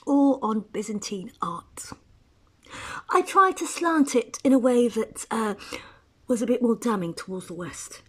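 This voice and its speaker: A woman's voice: fairly high pitch, breathy edge. A woman from Eritrea talking at length in a casual personal monologue, rambling with restarts and asides. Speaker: writer.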